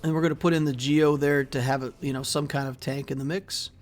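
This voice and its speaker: A middle-aged man hosting his game channel, giving relaxed, casual commentary over his gameplay with plenty of fillers, recorded at his desk indoors. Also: a little high, fairly quick, faint music behind. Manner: relaxed, steady walkthrough with frequent fillers and trailing off. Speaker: mobile game channel host. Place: small room indoors.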